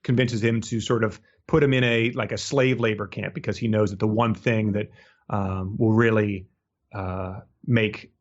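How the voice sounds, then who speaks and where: A man's voice: fairly low pitch, dull audio. A man co-hosting a casual book discussion, joking wryly as he comments. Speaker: podcast co-host.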